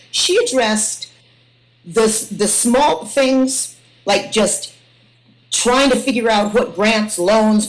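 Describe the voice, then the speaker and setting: Female panel host, medium pitch. Casual and conversational, with a halting, think-aloud delivery. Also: poor audio.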